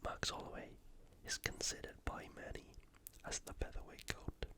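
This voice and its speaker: An ASMR host, speaking entirely in a breathy whisper in a casual, conversational commentary style. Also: moderate pace. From United Kingdom. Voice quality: whispered, unvoiced. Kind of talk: ASMR fight preview commentary.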